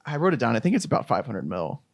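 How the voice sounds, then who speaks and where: A man's voice: medium pitch, quick pace, no echo, studio quality. A man co-hosting a casual movie review podcast, joking and swearing casually. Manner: lively, jokey, swears casually. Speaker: podcast co-host.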